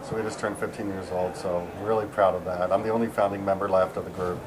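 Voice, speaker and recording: Low-pitched man, chatty, rambling, filler-heavy, noisy conference floor. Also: flat delivery, fast talker. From San Diego, USA. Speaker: interviewee, software engineer. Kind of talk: casual conference interview. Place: busy conference venue.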